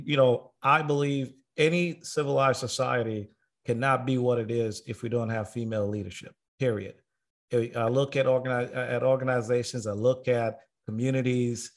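A man's voice: medium pitch, fairly even intonation, normal speed. A middle-aged man from Tanzania hosting a podcast interview, talking earnestly with frequent filler sounds. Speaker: podcast host.

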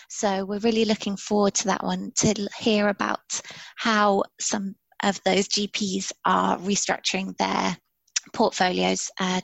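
Creaky-voiced woman, podcast guest. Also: medium pitch, no echo, low-quality recording. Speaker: podcast guest, conference producer. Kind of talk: explanatory interview talk.

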